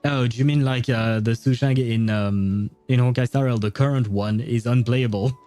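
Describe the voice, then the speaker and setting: A man's voice: mid-range voice, fairly even intonation, moderate pace, warm tone, faint music behind. A man hosting a gaming livestream, talking casually and thinking aloud in a rambling way. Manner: rambling, thinking aloud, chuckles at own jokes. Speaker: livestream host.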